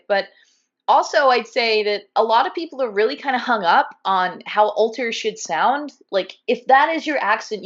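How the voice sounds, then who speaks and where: A chatty woman with a Californian accent talks in an animated, casual way and fills her speech with "um" and "like." Her voice sits a bit high. The video call audio is somewhat muffled. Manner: chatty, animated, many fillers like "um" and "like". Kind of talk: casual conversation.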